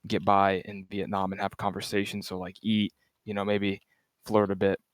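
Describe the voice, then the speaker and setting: A young man from the US chatting casually over voice chat, soft-spoken and hesitant, with lots of fillers. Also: lowish voice, monotone, moderate pace, dry recording, clean studio sound. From United States. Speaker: group chat member.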